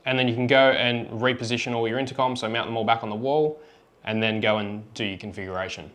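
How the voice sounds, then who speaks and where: A man with a mid-pitched voice presents a practical how-to demonstration. He explains things step by step in plain, informal language, as a product tutorial presenter would. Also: fairly even intonation, fast talker, fairly bright tone.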